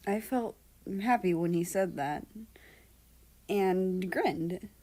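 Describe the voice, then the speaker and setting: A woman narrating fiction as an amateur reader, expressive and unhurried, acting out dialogue as she goes. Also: fairly low pitch.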